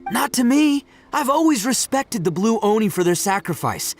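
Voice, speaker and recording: A brash young oni gang leader in a video game. He is boisterous and easygoing, with a fairly high voice that swings widely. Music runs underneath. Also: big pitch swings, moderate pace, heavily acted. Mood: sincere.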